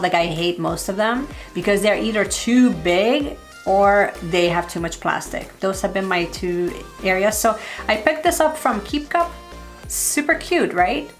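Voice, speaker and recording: Chatty, slightly hoarse woman vlogger. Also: mid-range voice. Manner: chatty, upbeat, heavy use of "like". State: slightly hoarse, losing her voice. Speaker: beauty and lifestyle vlogger. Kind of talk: casual product review.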